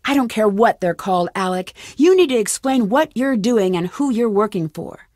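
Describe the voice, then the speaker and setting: A woman's voice: mid-range voice, animated, moderate pace, a little mellow, dry recording, clean studio sound, natural voice. A woman voicing a determined, suspicious young amateur detective in a video game, with an earnest, questioning manner. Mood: accusing, firm.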